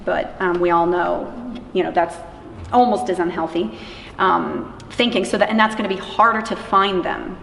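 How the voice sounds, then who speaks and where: A woman's voice: lowish voice, normal speed, a bit bright. A woman giving informal, emphatic conversational answers in an interview about her work. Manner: emphatic, informal, illustrates points with acted-out quotes. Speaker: interviewee, anti-trafficking worker. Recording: echoey, reverberant room with clear background noise.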